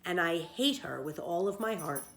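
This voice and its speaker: A woman's voice: fairly low pitch, lively intonation, normal speed, a bit bright, rough recording. Lady doing a storybook boat owner man, blunt n passionate, really emphatic. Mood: heated. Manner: emphatic, forthright.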